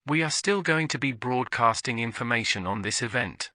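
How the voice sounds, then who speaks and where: A man delivers scripted emergency bulletins as a television continuity announcer. His voice is bright and forceful at a middle pitch, with a formal, solemn delivery. The sound is close and dry. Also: animated, normal speed, no echo.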